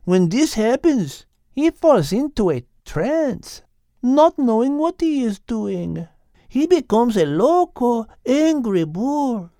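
Female cow: Spanish accent, high lilting voice, patient wifely manner, animal audiobook. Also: very animated, slow pace, dark tone, no echo, studio quality, exaggerated voice. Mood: patient, confiding.

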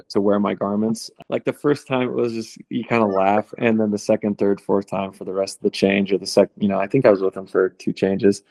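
A man in his late thirties, a returned missionary, telling stories in a relaxed, rambling interview style. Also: on the low side, vocal fry, no echo. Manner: relaxed, rambling with fillers and restarts. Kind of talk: conversational interview storytelling.

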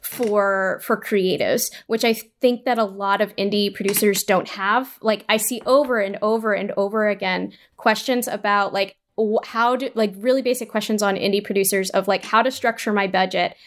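A woman's voice: medium pitch, steady tone. A woman with a mid-range voice answers conversationally in a panel interview. She works out her thoughts as she goes, stopping and restarting and often repeating words. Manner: halting, thinking aloud, repeats words.

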